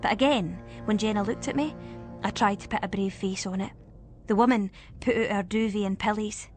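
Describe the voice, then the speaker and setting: A woman with a Scottish accent narrating a first-person ghost story in a suspenseful, expressive way. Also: mid-range voice, moderate pace, soft background music.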